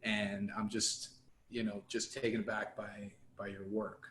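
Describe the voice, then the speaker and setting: Man, co-host, low voice, roomy echo, effusive, filler-heavy. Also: flat delivery, a little slow, slight background noise. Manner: warm, effusive praise with fillers. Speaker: podcast co-host. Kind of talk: casual interview. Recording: echoey room.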